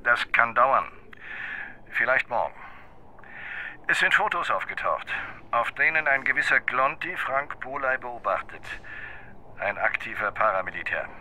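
Businesslike man, very sharp mid-pitched voice, factual, clipped delivery, crime radio drama. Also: expressive, a little slow, pauses often, slight background noise, poor audio. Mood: matter-of-fact. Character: businesslike man reporting a murder case.

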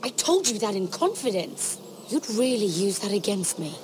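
A young noblewoman of a fantasy game, acted by a woman, speaks at a middling pitch. She is playful yet easily wary, with clear garden ambience of birds and insects behind her. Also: very animated, normal speed, noisy background, natural voice. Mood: wary, reluctant. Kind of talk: dramatic game voice acting.

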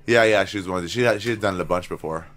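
A man's voice: low voice, quick pace, a bit bright. A man co-hosting a comedy podcast in a studio, telling rambling, sheepish stories in crude banter. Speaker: podcast co-host. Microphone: desk microphone.

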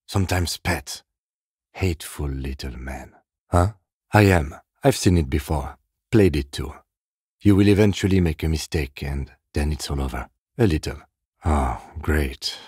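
Dry police detective, very low dark voice, husky, deadpan, patient, video game dialogue. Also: male voice, no echo, heavily acted. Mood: dry, understated. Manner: measured, restrained, deadpan.

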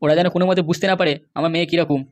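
A scheming middle-aged village politician, played by a man, blusters at extreme speed in a comic cartoon. He is touchy and defensive, and the voice is artificially pitched up. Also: fairly even intonation, very fast.

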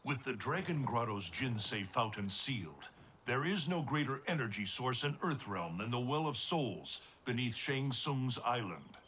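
Solemn god with swooping intonation. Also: male voice, medium pitch, sing-song, measured pace, a bit bright, a little hiss or hum. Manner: formal, stately. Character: solemn, wise male thunder god, commanding protector. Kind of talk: formal video game cutscene acting.

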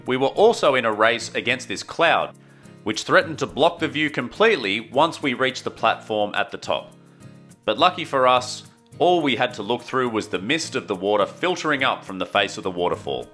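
A man's voice: mid-range voice, animated, moderate pace, bright, forceful tone. A man narrating his travel vlog in an enthusiastic, storytelling voice-over. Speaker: travel vlogger. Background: faint guitar music.

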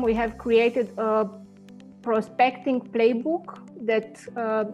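Woman, thirties, hesitant fillers, monotone, faint music behind. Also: on the high side, muffled recording. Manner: measured, hesitant with frequent fillers. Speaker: marketing head, interview guest. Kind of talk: video call testimonial interview. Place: indoor home office. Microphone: wired earphone mic on video call.

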